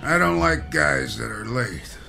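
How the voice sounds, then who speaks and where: An actor playing a gruff, impatient middle-aged fight promoter who talks curtly and bossily in a radio drama. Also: male voice, mid-range voice, lively intonation, measured pace, sharp tone, faint music behind, exaggerated voice. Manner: curt, bossy. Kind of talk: 1960s-style radio drama acting.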